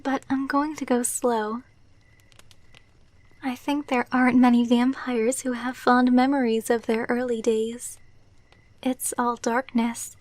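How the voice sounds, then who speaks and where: Girl doing a loving vampire gf for asmr, breathy n gentle, real even tone, super close dry mic. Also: fairly high pitch, no room sound. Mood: gentle, reassuring. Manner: soft, intimate, breathy delivery, often dropping to whispers. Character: tender, devoted female vampire girlfriend, intimate and reassuring. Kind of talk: ASMR roleplay. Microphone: close microphone, dry.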